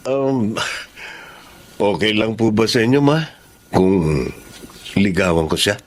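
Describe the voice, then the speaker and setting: Earnest low-voiced young Filipino suitor. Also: male voice, lively intonation, takes it slowly. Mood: shy, admiring. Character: Ralph, earnest, polite, lovestruck young man. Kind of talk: Filipino radio drama acting.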